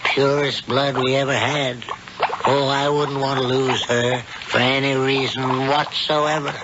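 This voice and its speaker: An actor playing a secretive, scheming middle-aged father in a 1970s radio drama, guarded and measured in manner. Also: mid-range voice, level tone, lightly acted. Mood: guarded, sinister. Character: secretive, scheming father running a hidden operation. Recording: dull vintage radio recording, very noisy.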